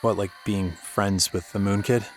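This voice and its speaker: An actor playing a broken-down, haunted radio DJ in a horror audio drama, hesitant and defensive. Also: male voice, on the low side, steady tone, unhurried, soft background music, close to an everyday voice. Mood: guarded, defensive. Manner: hesitant, guarded.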